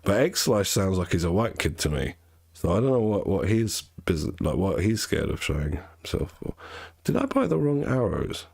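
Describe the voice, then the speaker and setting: A young man with a notably low, nasal voice chats loosely to his viewers while gaming. He rambles, restarts his sentences and corrects himself as he goes. The sound is dry, with no room to it. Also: huge pitch swings, normal speed, creaky, a little mellow, breathy edge, dry recording. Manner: rambling, self-correcting, chatty. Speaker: game streamer. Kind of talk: casual chat while gaming.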